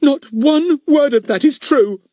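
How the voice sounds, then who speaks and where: Man voicing a high, distraught young woman. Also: expressive, a little slow, a little mellow, no room sound, clean studio sound. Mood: tearful indignation. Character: distraught, indignant young woman defending her friend.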